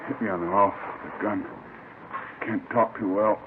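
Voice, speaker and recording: An actor playing a beaten, wounded army major who struggles to talk in terse, broken phrases, in a 1950s radio crime drama. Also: male voice, lowish voice, fairly even intonation, moderate pace, natural voice. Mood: weak, dazed. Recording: noisy, tinny old 1950s radio recording.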